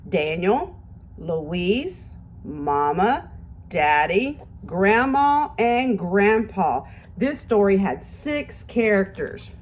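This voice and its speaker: A woman teacher reads aloud to little children with a very animated, swooping melody. She takes her time, speaking slowly and clearly addressing her young listeners. She encourages them and puts simple questions to them as she goes. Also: mid-range voice.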